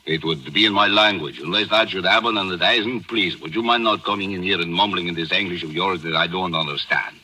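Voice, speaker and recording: An actor playing a sly, wry police inspector, deadpan in an old radio thriller. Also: male voice, low voice, slight background noise, low-quality recording. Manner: deadpan, deliberate, feigned ignorance. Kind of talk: 1940s radio thriller acting. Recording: old, harsh radio drama recording.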